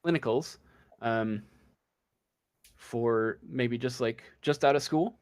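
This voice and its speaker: Slow, halting man as game master. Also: medium pitch, darkish tone. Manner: halting, hesitant, feeling for words. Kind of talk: improvised narration of a tabletop role-playing game.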